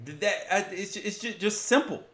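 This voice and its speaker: An American man hosting a sports podcast, arguing his opinions emphatically in lively debate with a guest. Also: sits high, moderate pace.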